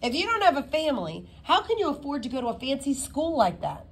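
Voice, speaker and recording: A woman's voice: sits high, very animated, fast talker, voice pushed up. A woman voicing a cautious, reluctant man who poses as a police officer, guarded and questioning, in a storybook. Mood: guarded curiosity.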